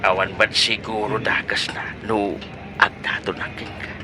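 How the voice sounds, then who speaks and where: An actor playing an eager, teasing middle-aged suitor who stammers and wheedles, in a comedy radio drama. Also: male voice, on the low side, level tone, a little slow, music in the background, close to an everyday voice. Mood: wheedling.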